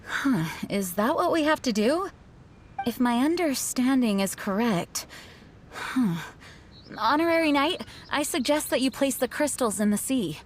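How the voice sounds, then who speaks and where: A woman playing a responsible, kindly young female leader in an anime-style video game, measured and formal. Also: high-pitched, animated, a little hiss or hum. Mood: pondering.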